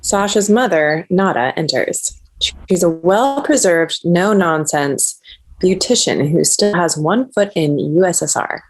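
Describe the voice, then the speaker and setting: A woman narrates stage directions from a script. Her voice sits a little low. The delivery is scripted screenplay narration. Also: lively intonation, measured pace, rough recording.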